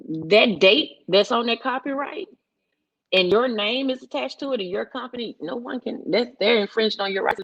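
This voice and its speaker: A woman's voice: medium pitch, fairly bright tone. A woman explaining things informally as a panelist. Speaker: panelist explaining music rights. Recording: dull, lo-fi sound.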